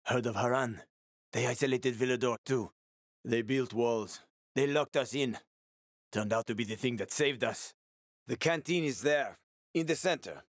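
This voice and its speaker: A man's voice: medium pitch, dry recording, studio quality, natural voice. A male actor voicing a wry, streetwise survivor guide and ex-soldier in a video game, with colloquial, foul-mouthed talk. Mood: sly, confiding. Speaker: video game companion character.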